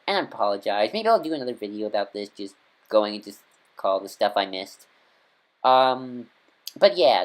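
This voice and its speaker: A man hosting a casual fan review video, talking in a rambling, eager way with many fillers and restarts. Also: medium pitch. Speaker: video host.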